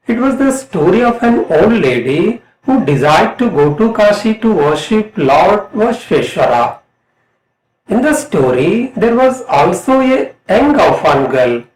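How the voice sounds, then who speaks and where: A middle-aged man with an Indian accent reading a scripted lesson as an English lecturer, in a deliberate, emphatic way. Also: high voice. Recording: roomy, echoing voice.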